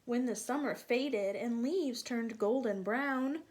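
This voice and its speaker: A woman reading a picture book aloud to young children, gently asking them questions and sometimes dropping to a soft whisper. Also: fairly high pitch, slow, a little hiss or hum.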